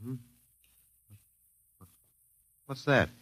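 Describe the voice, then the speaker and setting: An actor playing a bewildered, wryly resigned professor, halting and muttering, in a 1950s radio drama. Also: male voice, background noise, lightly acted. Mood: groggy, confused. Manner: halting, muttering, dryly wry. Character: bewildered, wry anthropology professor, last man on Earth.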